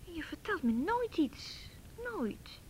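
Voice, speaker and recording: High-voiced, inquisitive young woman. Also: very animated, slow, a little mellow, slight background noise, full character voice. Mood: probing, frustrated. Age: young adult. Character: outspoken, inquisitive young woman hoping to study. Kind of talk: naturalistic Dutch radio drama acting.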